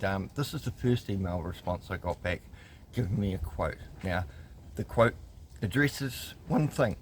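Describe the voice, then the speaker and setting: A man's voice: on the low side, a little hiss or hum. A man, telling a customer complaint story in a wry, exasperated way and reading emails aloud with lots of ums. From New Zealand. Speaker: consumer rights vlogger.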